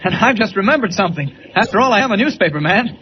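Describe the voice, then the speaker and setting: Reporter hero: mild, modest, high-pitched, vintage radio serial delivery through muffled, noisy audio. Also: male voice, lively intonation, rapid-fire. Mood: brisk. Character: modest, mild-mannered heroic newspaper reporter. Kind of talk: 1940s radio drama acting.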